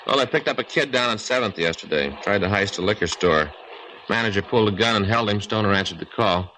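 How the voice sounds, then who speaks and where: An American actor playing an easygoing, dry police detective partner in a 1950s radio drama, speaking in a casual, laconic way. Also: male voice, on the low side, lively intonation, fast talker, sharp tone, low-quality recording. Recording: old radio recording, faint hiss.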